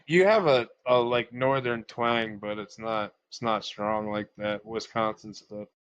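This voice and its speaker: A man's voice: lowish voice, steady tone, a little slow, smooth, no room sound. A man in his thirties from Northern Virginia who co-hosts a podcast in a laid-back, joking way. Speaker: podcast co-host, veteran. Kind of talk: casual chat. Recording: slightly dull lo-fi mic.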